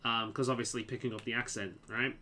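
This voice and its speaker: A man's voice: medium pitch, steady tone, fast, sharp tone. A man from England with a mild Liverpool accent, chatting casually while playing a game, often repeating words and restarting sentences. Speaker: game streamer.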